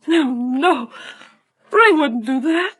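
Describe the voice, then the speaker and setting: Very high, plaintive young lady. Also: animated, fairly bright tone, heavily acted. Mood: grieving, defensive. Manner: halting, plaintive. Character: fragile, grieving, trusting orphaned daughter. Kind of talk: 1930s radio acting. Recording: vintage 1930s radio broadcast.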